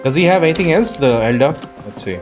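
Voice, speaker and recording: A man's voice: a little high, expressive, fast. A man doing casual game commentary, thinking aloud in an informal, reactive way. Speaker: gaming video host playing and commenting. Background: game music.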